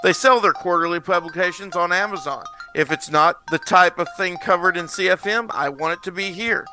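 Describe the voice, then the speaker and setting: A man hosts a scripted show intro in a high, extremely bright and forceful voice. Music plays behind the speech. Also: brisk. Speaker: podcast host.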